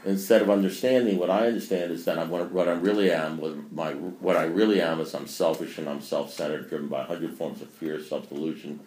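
A man's voice: deep voice, fairly even intonation, a little fast, few pauses, darkish tone, a little hiss or hum. Older man preaching in an echoey room. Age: 60s. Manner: preachy, rambling, self-mocking. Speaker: speaker at a recovery meeting. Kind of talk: meeting talk. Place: meeting room.

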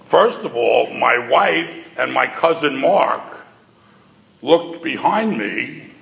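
An older man tells his story slowly and deliberately, his voice deep with gravel and grit. He speaks into a stage microphone, and his wry, self-mocking asides ring out through a large hall with a long, roomy reverb. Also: expressive, a bit bright. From New York. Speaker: speaker addressing an audience. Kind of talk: personal storytelling talk. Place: large hall before a live audience.

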